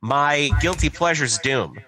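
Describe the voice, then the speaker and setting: A man chatting casually as a podcast co-host, speaking in a halting, profane way. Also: on the high side, expressive, sharp tone. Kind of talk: casual chat about movies.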